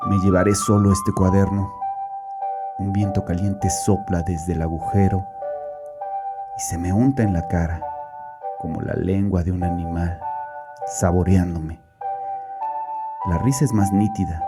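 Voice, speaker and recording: An actor playing a tormented, guilt-ridden religious father, narrating a horror audio drama gravely and deliberately. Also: low-pitched, steady tone. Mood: ominous, obsessed. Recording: voice over prominent music.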